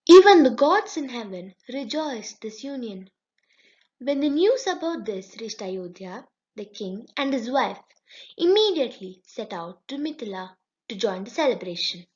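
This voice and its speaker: A woman tells a children's story in an expressive read-along style. Her voice sits fairly high and moves with lively swings in pitch. The recording is a little dull and lo-fi. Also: a little slow.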